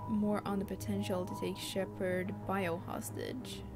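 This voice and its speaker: A woman with a Finland-Swedish accent talks through her choices as she streams a game, musing aloud in a chatty, unhurried way. Her voice sits at an ordinary middle pitch. Game music plays clearly behind her. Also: little pitch movement. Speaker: let's play streamer. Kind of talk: casual gameplay commentary.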